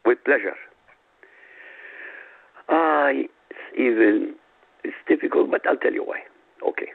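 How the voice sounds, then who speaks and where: A man's voice: mid-range voice, gravelly, breathy edge. A man from Iraq whose first language is Arabic, a radio guest reading out a prepared statement in an emphatic, declamatory way. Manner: emphatic, declamatory, lists items slowly. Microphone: phone. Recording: tinny phone line.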